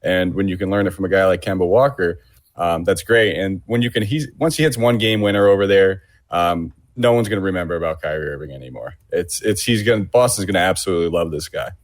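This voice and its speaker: Deep-voiced laid-back male co-host. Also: fast, dry recording, clean studio sound. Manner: laid-back, joking, with many fillers. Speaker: podcast co-host. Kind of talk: casual podcast chat.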